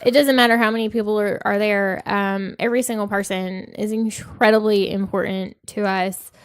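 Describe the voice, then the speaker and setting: A woman in her thirties co-hosting a podcast, soft-spoken and a little nasal, pausing often with ums as she gathers her thoughts. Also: mid-range voice, steady tone, clean tone, no echo, clean studio sound. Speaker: podcast co-host. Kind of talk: casual podcast chat.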